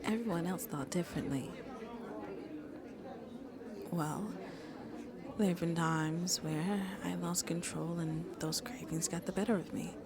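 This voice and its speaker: Soft, slow, hesitant young vampire woman, acted by a woman. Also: on the low side, a crowd in the background. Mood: confiding. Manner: hesitant, apologetic, halting, breathy near-whispers. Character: shy, gentle 150-year-old female vampire who seems mid-twenties. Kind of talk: soft-spoken ASMR roleplay.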